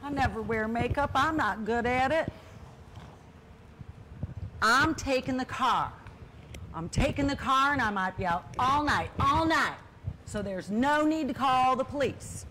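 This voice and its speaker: A woman's voice: sits high, lively intonation, unhurried, long pauses, bright, forceful tone, slight background noise, heavily acted. A woman playing a stubborn, huffy older spinster sister in a comedic stage play, speaking with emphatic repetition. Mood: defiant.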